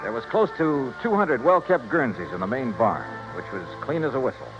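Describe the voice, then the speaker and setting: An old muffled radio recording with music behind it carries an actor as a wisecracking American male investigator. His delivery is brisk and theatrical, with pitch that swings freely. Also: very animated, close to an everyday voice. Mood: brisk, matter-of-fact. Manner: breezy, wisecracking. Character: wry, brisk freelance insurance investigator, a man. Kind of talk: 1950s radio detective acting and narration.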